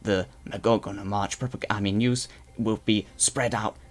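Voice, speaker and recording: Brief: man playing a blustery creature propaganda anchor for a live roleplay stream, odd put-on accent, fairly low, rambling with restarts. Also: steady tone, moderate pace, close to an everyday voice. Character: male Slig guard turned propaganda newscaster, boastful.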